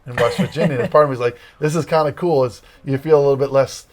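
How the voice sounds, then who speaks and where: A middle-aged man with a Massachusetts accent rambles easily through his stories in a relaxed guest chat. He leans on fillers and restarts, and his pitch sits in the ordinary middle range for a man. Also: fast. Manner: rambling, storytelling, many fillers. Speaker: campus ministry leader, guest. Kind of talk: casual interview chat.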